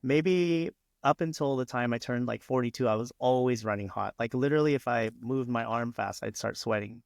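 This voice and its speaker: A man's voice: mid-range voice, normal speed, vocal fry, no echo, clean studio sound. A man in his forties, a podcast guest, talking casually and hesitantly with many ums and restarts. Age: 40s. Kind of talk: casual interview chat.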